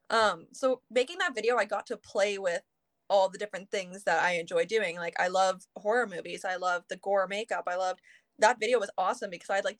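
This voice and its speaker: A woman's voice: mid-range voice, fairly bright tone. Young woman, early 20s, from Canada, singer, interview guest, casual, chatty, lots of "like" fillers. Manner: chatty, heavy use of "like" fillers.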